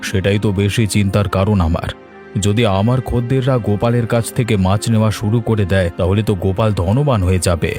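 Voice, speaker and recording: A man's voice: fairly low pitch, fast talker, mellow, soft background music, natural voice. A man playing an envious, calculating rich merchant villain in a cartoon story. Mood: worried envy. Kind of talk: Bengali cartoon story acting.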